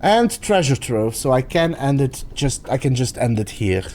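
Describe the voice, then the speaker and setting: A man with a Belgian accent chats casually as he plays, thinking his moves out loud. His voice sits in a mellow, soft tone at a middling pitch. A faint hiss runs under the recording. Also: moderate pace. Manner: thinking aloud, relaxed and chatty. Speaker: streamer. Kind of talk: casual chat while gaming.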